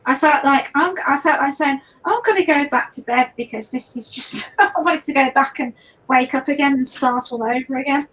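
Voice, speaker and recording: A woman guest artist with a hoarse voice, chatting casually while demonstrating painting, halting and repeating words. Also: sits high, fairly bright tone, low-quality recording.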